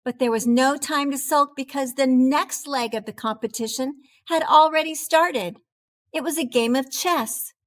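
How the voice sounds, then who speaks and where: A woman voicing a proud, dramatic wheel of cheddar in an expressive children's storybook read-aloud. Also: sits high. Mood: dramatic recounting. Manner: theatrical, expressive, deliberate delivery.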